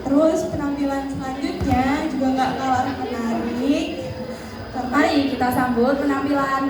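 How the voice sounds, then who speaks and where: A teenage girl acting as MC, announcing the next act and cheering on the audience in an animated way, through a distant hall mic. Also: sits high, moderate pace. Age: teens. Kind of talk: stage announcing.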